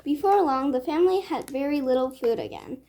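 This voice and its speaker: A woman storyteller narrating a children's read-aloud tale with measured phrasing. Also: high voice, lively intonation, mellow, slight background noise.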